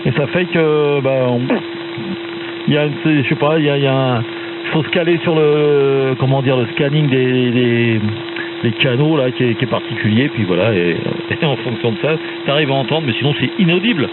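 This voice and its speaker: A man talks casually over ham radio in a rambling, slangy and opinionated way. His voice sits at an average pitch. The transmission is thin as a phone line and swamped by heavy humming noise. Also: moderate pace. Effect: amateur radio transmission. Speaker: amateur radio operator. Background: loud radio static and hum.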